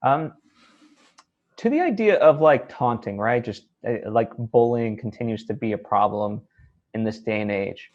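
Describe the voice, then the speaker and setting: A man's voice: mid-range voice, lively intonation, measured pace, lo-fi sound. A man hosting a conversational interview, feeling his way thoughtfully through long questions. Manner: hesitant, thoughtful, rambling questions. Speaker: interviewer, show host.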